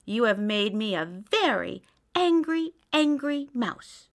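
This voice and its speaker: A woman voicing a fussy, indignant male mouse in a read-aloud storybook, scolding and demanding in a high squeaky voice. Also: big pitch swings, takes it slowly, fairly bright tone, full character voice. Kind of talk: storybook character voice.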